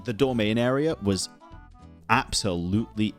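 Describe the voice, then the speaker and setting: A man's voice: lowish voice, a little slow. A man doing casual, opinionated live stream commentary in a blunt, slangy way, swearing often as he ranks things. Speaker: streamer ranking game quests. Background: video game music.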